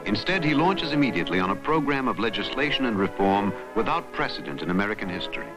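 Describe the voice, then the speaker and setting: A man narrating a historical documentary in a solemn, dramatic, measured newsreel style. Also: fairly low pitch, very animated, low-quality recording. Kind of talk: scripted historical documentary narration, mid-century newsreel manner. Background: music.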